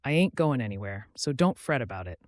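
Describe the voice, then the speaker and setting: A man's voice: mid-range voice, big pitch swings, a little fast, no echo, natural voice. A man voicing a kindly, bashful older woman, a folksy frontier cook in an audiobook. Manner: folksy, dropped g's, homely endearments.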